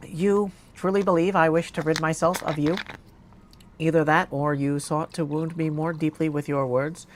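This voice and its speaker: Man playing a stern, even-toned witch headmistress. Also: a little high, close to an everyday voice. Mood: solemn, regretful. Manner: formal, measured, grave. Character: stern, dignified witch headmistress, restrained and archaic. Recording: clear background noise.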